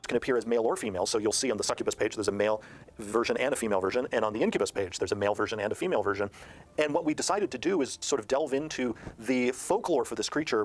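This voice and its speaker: A man speaking as a game designer in a promotional discussion of a rulebook, halting often as he explains. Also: mid-range voice.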